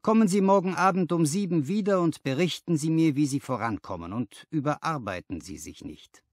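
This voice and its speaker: A man voicing a brisk, patronising company boss in an audiobook, businesslike and used to giving orders. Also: fairly high pitch, lively intonation, no echo, clean studio sound, voice pushed up. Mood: condescending. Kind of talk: audiobook character dialogue.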